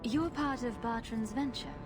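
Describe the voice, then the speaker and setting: A woman's voice: a little high, measured pace, close to an everyday voice. A woman playing a sardonic young rogue adventurer in a video game, speaking in a dry, questioning way. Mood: sceptical. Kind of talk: video game character dialogue. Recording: loud music behind the voice.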